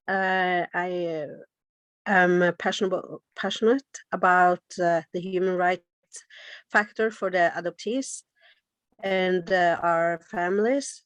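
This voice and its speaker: A woman in her thirties, from Colombia, introducing herself on a panel with halting, filler-filled speech. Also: on the low side, no room sound. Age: 30s.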